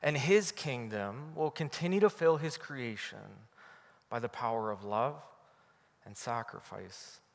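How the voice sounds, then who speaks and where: A man's voice: medium pitch, a little slow, vocal fry. Canadian man preaching in a reverberant hall. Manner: storytelling, animated, laughing at own story. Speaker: pastor. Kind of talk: sermon.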